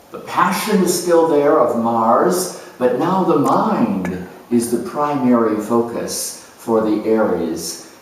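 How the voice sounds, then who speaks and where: Deliberate male lecturer in a very echoey room. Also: medium pitch. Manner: deliberate, didactic. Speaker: astrologer, lecturer. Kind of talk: explanatory lecture. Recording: echoey room, distant microphone.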